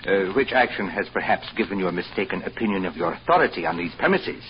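Haughty hotel manager: deliberate, drily sarcastic, superior, very inflected, on a dull, faintly noisy old radio recording. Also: male voice, low-pitched, expressive. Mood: condescending. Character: haughty, condescending male hotel boss, testy and needling. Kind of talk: old-time radio drama acting.

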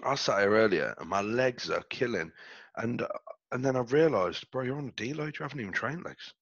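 A man's voice: mid-range voice, lively intonation, brisk, a bit bright, no echo, dull audio. A man co-hosting a casual podcast while stuffy and under the weather, talking in a laid-back, slangy, rambling way. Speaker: podcast co-host. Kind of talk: casual chat. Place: reclined in a chair at home. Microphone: headset.

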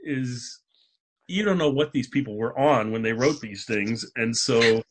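A man's voice: mid-range voice, unhurried, noisy background. A man hosting a podcast in casual chat, talking in an informal, joking way. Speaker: podcast host.

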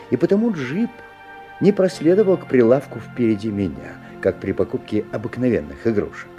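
An actor playing a genial father who narrates a story in a 1970s radio play, theatrical, with deliberate pauses. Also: mid-range voice, dark tone, lightly acted. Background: faint music.

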